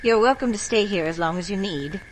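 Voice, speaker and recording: A woman's voice: medium pitch, lively intonation, fairly quick, background noise, close to an everyday voice. An actress playing a kindly, knowing folk healer woman, motherly and commanding, in an audio horror drama. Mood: grave, urgent.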